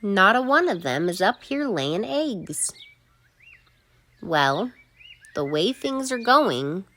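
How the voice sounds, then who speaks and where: A woman's voice: mid-range voice, big pitch swings, slow pace, sharp tone, heavily acted. A woman voicing a practical, puzzled farm couple in a storybook, plain-spoken and emphatic.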